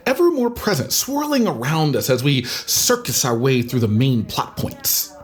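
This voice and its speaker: A woman's voice: very deep voice, expressive, moderate pace. A woman playing a grand, superstitious circus thespian in an audio drama, speaking in a declamatory, precise, dramatic manner. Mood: earnest, dramatic. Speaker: voice actor. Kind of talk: theatrical audio drama acting.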